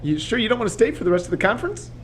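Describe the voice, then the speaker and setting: Audio play bit part actor: courteous gentleman, high voice, quick delivery, sympathetic, faint noise around him. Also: sing-song. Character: polite male acquaintance at a science conference.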